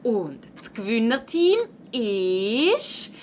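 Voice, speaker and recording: A woman playing a brisk, emphatic schoolteacher in a children's audio play, with a Swiss accent. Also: on the high side, very animated, slight background noise, full character voice. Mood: announcing, pleased. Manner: emphatic, announcing. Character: brisk woman schoolteacher running a class clean-up. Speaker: teacher.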